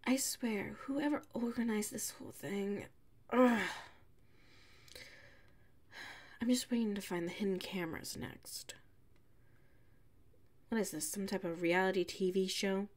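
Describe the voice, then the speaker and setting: A woman voicing a prickly, haughty young tsundere classmate with a hidden soft side, in an ASMR audio roleplay. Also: medium pitch. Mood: annoyed. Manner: haughty, huffy, sighing, drawn-out phrasing.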